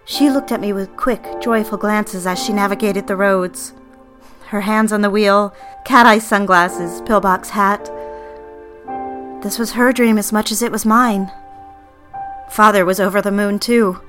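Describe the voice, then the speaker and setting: An actress playing a guilt-ridden, defiant young bride-to-be in an audio drama, brooding and defensive in manner. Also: female voice, mid-range voice, fairly even intonation, measured pace, a bit bright, soft background music, close to an everyday voice. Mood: brooding, troubled. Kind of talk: audio drama, confessional first-person narration and dialogue.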